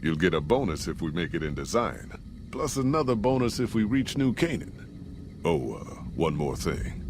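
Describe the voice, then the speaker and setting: An American actor playing a gruff, folksy caravan boss in a post-apocalyptic video game, with plain-spoken frontier drawl. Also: male voice, low-pitched, big pitch swings, moderate pace, background noise. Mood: businesslike, friendly, then wary, cautioning. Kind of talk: scripted video game character dialogue.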